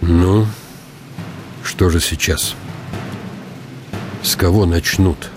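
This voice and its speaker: A man's voice: sits low, steady tone, very slow. An actor in a radio play voicing the inner thoughts of a proud condemned man who hides fear behind contempt. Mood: uneasy, sardonic. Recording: echoing hall reverb, clear hiss.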